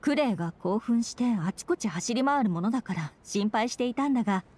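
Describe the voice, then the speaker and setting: A woman's voice: fairly high pitch, lively intonation, fairly quick, darkish tone, slight background noise, close to an everyday voice. A woman voicing a dutiful young female knight commander in an anime game, formal, composed and polite. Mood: composed, concerned. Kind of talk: Japanese anime game voice acting.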